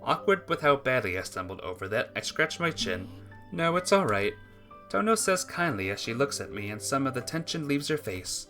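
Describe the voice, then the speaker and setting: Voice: medium pitch, sing-song, a little fast, hardly any pauses, bright, forceful tone, faint music behind. A person reading a visual novel's narration aloud for a playthrough, occasionally stumbling over the script.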